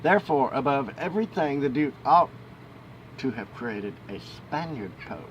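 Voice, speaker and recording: A man's voice: animated, moderate pace. A man reading a historical book aloud as an amateur narrator, with emphatic phrasing and the odd stumble. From United States. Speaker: amateur audiobook reader. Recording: noisy home recording with ambient rumble.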